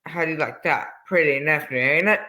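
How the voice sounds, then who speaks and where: A man's voice: on the high side, brisk, bright, forceful tone. A man playing an eager, polite, fussy old salesman in an old-time radio drama, folksy and repetitive in his talk. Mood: chirpy, proud.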